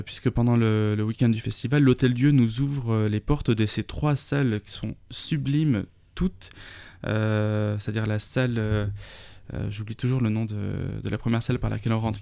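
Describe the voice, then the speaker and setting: A man's voice: lowish voice, vocal fry, darkish tone, smooth, dry recording. A man, a festival organizer talking through a radio interview, full of fillers and restarts. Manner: hesitant, many fillers and restarts.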